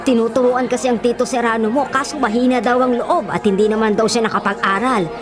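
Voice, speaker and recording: A woman's voice: a little high, steady tone, quick pace, natural voice. A woman with a Filipino accent playing a kind, worrying older mother in a radio drama. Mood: worried, gentle. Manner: fretful, exclaiming. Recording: music and crowd noise behind. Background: music, crowd sounds.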